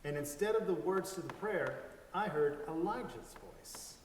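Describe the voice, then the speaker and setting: A man's voice: on the high side, expressive, measured pace, a little hiss or hum. A man reading a scripted first-person story aloud as part of a dramatic reading. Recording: echoey hall, distant microphone.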